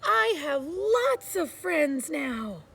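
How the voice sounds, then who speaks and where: A woman's voice: high voice, very animated, extremely slow, pushed and bright, slight background noise, pitched above the performer's own voice, exaggerated voice. A woman voicing an earnest, plaintive young male beaver who longs for friends in a children's storybook. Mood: happy, amazed. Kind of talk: children's storybook read-aloud.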